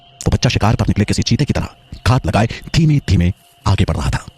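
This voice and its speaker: A man's voice: lowish voice, animated, brisk, a little mellow, breathy edge. A man narrating a scripted historical story in a dramatic storytelling style.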